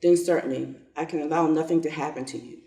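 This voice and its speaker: A man playing a self-interested, lordly old general in a reader's theater piece, pompous and deliberate. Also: on the high side, fairly even intonation, gravelly, mellow. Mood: self-assured, calculating. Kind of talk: reader's theater stage reading. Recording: echoey room, distant mic.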